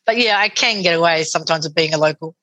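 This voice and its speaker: An Australian woman answers interview questions in a chatty, meandering way. Her voice is low yet bright and forward. The recording is dry and close. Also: fast, no echo. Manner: chatty, many fillers and restarts. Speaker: guest, food tour founder.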